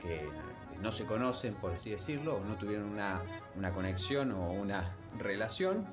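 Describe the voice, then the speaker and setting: Low-voiced Argentine man in an echoey room. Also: very animated, moderate pace, music in the background. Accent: Argentine Spanish. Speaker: podcast host. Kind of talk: casual podcast debate.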